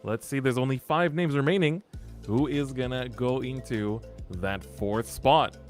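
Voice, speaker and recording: A man's voice: medium pitch, a little slow, soft background music. A man co-hosting a live esports bracket draw, commentating and musing aloud with small hesitations. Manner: thinking aloud, hesitant fillers. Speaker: esports caster.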